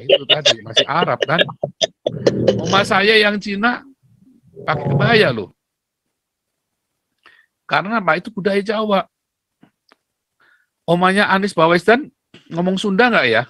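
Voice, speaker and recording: A man talking casually as a host, opinionated and animated, mocking and fond of rhetorical questions. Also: high-pitched, a little slow, pauses often, dry recording. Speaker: talk show host.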